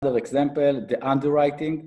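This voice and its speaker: Middle-aged israeli guy doing a webinar talk, soft dark voice, kinda halting with lots of uhs. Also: a little high, steady tone. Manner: halting, hesitant delivery with frequent fillers. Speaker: guest speaker, IT advisory consultant and accountant. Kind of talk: explanatory business webinar talk.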